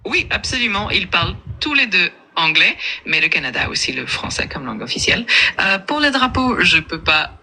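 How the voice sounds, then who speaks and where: A man's voice: sits high, fairly quick, low-quality recording. A man's voice as an AI assistant, explaining patiently and politely, with small filler sounds. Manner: patient, even-keeled, with soft filler sounds.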